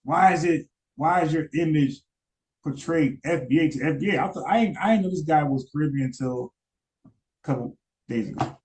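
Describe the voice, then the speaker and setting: A man's voice: on the high side, brisk, a little mellow, low-quality recording. A man giving casual live-stream commentary, rambling, repeating words and asking his chat questions. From United States.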